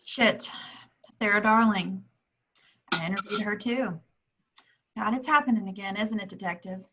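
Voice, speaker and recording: A woman's voice: medium pitch, normal speed, highs cut off, background noise, natural voice. A woman reading a pushy, brazen, persuasive female character in an amateur table read of a crime film script. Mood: anxious, dismayed.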